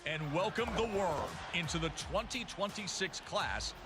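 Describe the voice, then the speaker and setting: A man's voice: high voice, expressive, a little slow. A man narrating a tribute video in a scripted, dramatic style.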